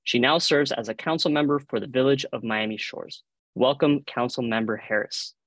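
A man with a Cuban accent reads formal introductions as a panel moderator. His pitch is average and the video-call sound is dry, with little room echo. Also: moderate pace, a bit bright. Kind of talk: formal scripted introductions, reading biographies. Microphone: video call microphone.

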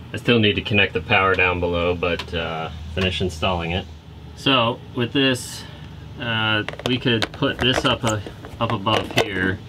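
A man's voice: low-pitched, background noise. A man in his thirties hosting a DIY vlog, explaining his work casually. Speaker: vlog host.

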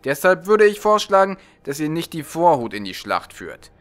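Man reading as a formal, higher-pitched courtly advisor. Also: big pitch swings, soft background music. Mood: counseling, courteous. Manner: formal, measured, deferential. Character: smooth, courtly serpent-man imperial advisor, deferential and calculating.

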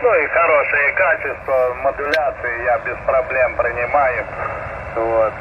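A man's voice: mid-range voice, steady tone, unhurried. Muffled man over noisy shortwave radio. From Ukraine. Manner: chatty, hesitant fillers, friendly. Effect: shortwave radio transmission. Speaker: amateur radio operator. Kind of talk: casual ham radio chat. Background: radio static and noise.

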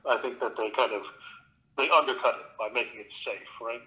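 A man's voice: medium pitch, steady tone, fairly quick, sharp tone, rough recording. An older man, a guest actor, talking earnestly and thoughtfully in an interview, often restarting his sentences. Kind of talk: reflective interview talk.

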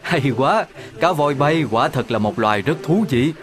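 A man's voice: fairly high pitch, lively intonation, measured pace. A man voicing an earnest, heroic dog who leads his team in a children's cartoon, emphatic and encouraging, over music. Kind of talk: animated children's cartoon acting.

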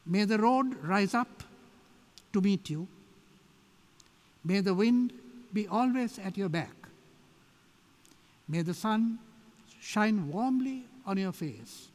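Old sri lankan guy giving a solemn tribute, really slow, big echoey hall. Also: high-pitched, expressive, a little mellow. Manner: solemn, measured phrasing. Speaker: church society speaker. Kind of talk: formal tribute speech. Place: large church, at lectern. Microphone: gooseneck lectern microphone. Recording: echoey hall, long reverb.

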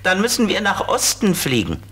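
A man playing a friendly know-it-all flying ladybird car in a children's audio play, explaining things simply in a husky voice. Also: sits high, wildly swooping pitch, moderate pace, fairly bright tone, full character voice. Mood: patient, explaining.

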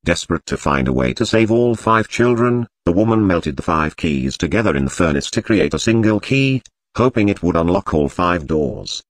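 A man voicing a candy robot in a video game, telling grim tales in a measured, deadpan way. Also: sits low, no echo. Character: monotone candy-dispensing robot telling grim bedtime stories. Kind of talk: scripted video game character narration.

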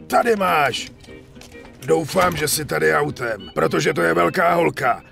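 An actor playing a chatty, hearty middle-aged gun dealer and war veteran in a video game dub, talkative and foul-mouthed. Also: male voice, on the high side, animated, gravelly, pushed and bright, faint music behind, exaggerated voice. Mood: friendly. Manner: talkative, foul-mouthed, showy sales patter. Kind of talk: dubbed video game cutscene acting.

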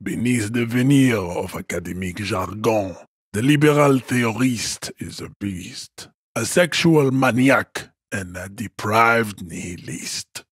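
Haughty video game strongman, really slow n husky, pompous lecturing, looks down on everyone. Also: on the low side, no room sound, clean studio sound. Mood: grave disdain. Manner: pompous, declamatory, condescending. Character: haughty, towering male race-theorist strongman, contemptuous and grandiose. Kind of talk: scripted video game character dialogue.

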